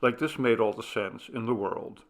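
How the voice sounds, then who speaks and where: A man's voice: fairly low pitch, moderate pace, gravelly. A man narrating a ghost story as a scripted, dramatic audiobook reading.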